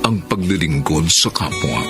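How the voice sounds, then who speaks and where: A man's voice: sits low, lively intonation, a little slow, music in the background. A man delivering solemn scripted radio narration.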